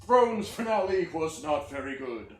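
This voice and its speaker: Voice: medium pitch, sing-song, measured pace, a little mellow, a little hiss or hum. A performer playing a perky news anchor in a satirical puppet newscast, with upbeat, mock-solemn announcer patter in a staged reading. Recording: roomy, distant microphone.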